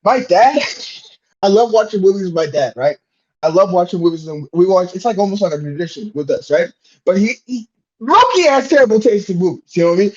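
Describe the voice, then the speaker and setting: A high-voiced man talking in loose, boisterous slang with heavy swearing. He rants casually and repeats words for emphasis, recorded on a dull, lo-fi microphone. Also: lively intonation, fairly quick, husky. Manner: boisterous, heavily profane, slangy, emphatic repetition. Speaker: podcast guest. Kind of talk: casual chat, ranting.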